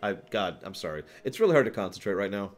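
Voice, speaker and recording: Man, low voice, lively swooping intonation, casual streamer chat, digressive, faint music behind. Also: quick pace. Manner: digressive, talks to live chat, emphatic stresses. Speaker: livestream host. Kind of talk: casual rambling stream chat, opinion commentary.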